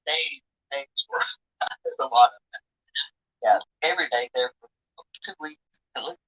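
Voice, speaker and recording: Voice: medium pitch, huge pitch swings, a little slow, slightly rough. A caller chatting casually on the phone. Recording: muffled lo-fi phone call.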